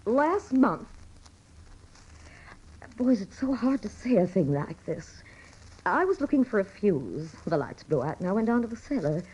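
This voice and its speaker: An actress playing a weary, fiercely loyal older wife and mother in a radio drama, pleading emphatically in a husky voice. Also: medium pitch, big pitch swings, normal speed, pauses often, darkish tone, low-quality recording. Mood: anguished. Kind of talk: dramatic radio play acting.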